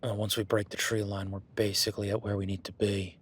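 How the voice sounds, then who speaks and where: An easygoing, seasoned cowboy rancher, voiced by a man in an audio roleplay. His voice sits low with a husky edge, and he talks in a flat, folksy, plainspoken way straight to the listener. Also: fast.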